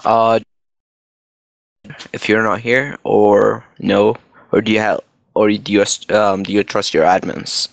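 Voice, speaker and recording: A man's voice: on the low side, flat delivery, takes it slowly, gravelly, long pauses, dry recording, dull audio. A man speaking hesitantly with many fillers in a casual gaming voice chat.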